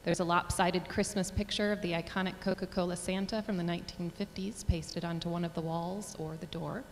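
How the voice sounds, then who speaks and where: Narrator: woman, lowish voice, reading stage directions in a reverberant theatre with faint rumble. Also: fairly even intonation, brisk. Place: performing arts theatre. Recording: echoey theatre hall, rumbling noise.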